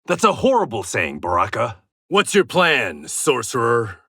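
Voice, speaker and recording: An actor playing a proud, resolute blind swordsman in a video game, with a husky voice and a terse, defiant delivery. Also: mid-range voice, huge pitch swings, a little slow, pushed and bright, exaggerated voice. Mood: dry banter. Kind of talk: video game combat voice lines.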